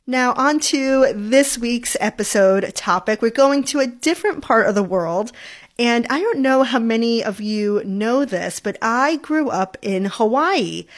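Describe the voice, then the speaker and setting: A young adult woman from New York hosts a food podcast in a relaxed, friendly way. She talks with enthusiasm about food, in a chatty, conversational manner. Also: fairly high pitch, unhurried. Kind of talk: casual solo podcast talk.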